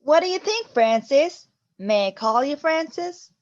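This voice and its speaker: A woman's voice: sits high, lively intonation, takes it slowly. A woman playing a plucky grandmother ghost in an amateur radio play, recorded with dull sound. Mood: eager, persuasive.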